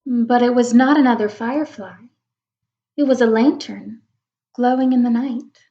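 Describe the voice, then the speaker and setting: A woman reading a children's picture book aloud, with expressive, wondering asides as if talking to young children. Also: fairly high pitch, normal speed. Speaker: read-aloud storyteller. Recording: dull lo-fi recording.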